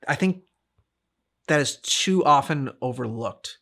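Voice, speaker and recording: Male co-host, bright forceful tone, casual and thoughtful, halting delivery with repeated words. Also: medium pitch, expressive. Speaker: co-host of a reaction channel. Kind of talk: casual reflective discussion.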